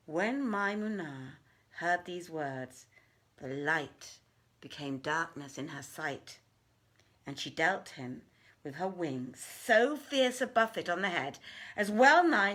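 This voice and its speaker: A woman reading a classic tale aloud as a dramatic, deliberate and theatrical storyteller. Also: lowish voice, expressive, fairly bright tone. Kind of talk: dramatic literary narration.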